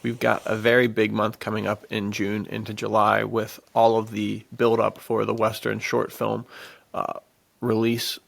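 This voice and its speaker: A man co-hosting a podcast, chatting casually and pitching things in laid-back slang. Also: monotone, measured pace, gravelly. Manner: laid-back slangy, says "dude" often. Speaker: podcast co-host. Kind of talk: casual promotional podcast chat.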